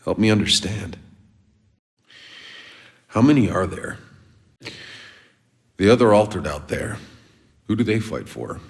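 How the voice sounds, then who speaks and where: Deep, gravelly, world-weary soldier. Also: male voice, expressive, a little slow, long pauses, long reverb tail, heavily acted. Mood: demanding, tense. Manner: blunt, sardonic, casual and profane. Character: gruff, world-weary sardonic male soldier and survivor. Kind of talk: video game character dialogue.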